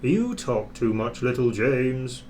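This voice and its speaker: A man's voice: mid-range voice, very animated, slow, darkish tone, slight background noise. A man voicing a proud, boastful big steam engine in a children's storybook, pompous and condescending.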